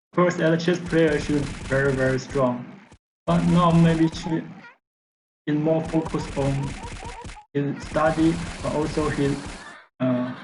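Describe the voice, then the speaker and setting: A man whose first language is Chinese, answering interview questions slowly and haltingly as he searches for words. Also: fairly high pitch. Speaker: guest player. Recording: clear background noise.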